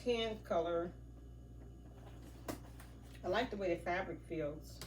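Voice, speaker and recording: A woman's voice: on the low side, slow pace, far from the mic. A woman with a stuffy nose chatting casually in an unboxing vlog, rambling with many fillers. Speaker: vlogger. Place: outdoors near a garden. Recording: noisy, from off mic.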